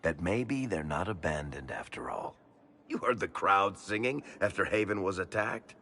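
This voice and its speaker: An actor playing a wry, plain-spoken businessman in a video game, talking casually and a little haltingly in a gravelly voice. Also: low-pitched, expressive, fairly bright tone, full character voice. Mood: earnest. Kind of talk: video game dialogue acting.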